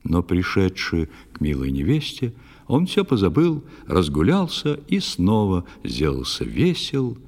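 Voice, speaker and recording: A man narrating a poetic tale as scripted storytelling, with a solemn, measured, dramatic delivery. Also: deep voice, wildly swooping pitch, darkish tone.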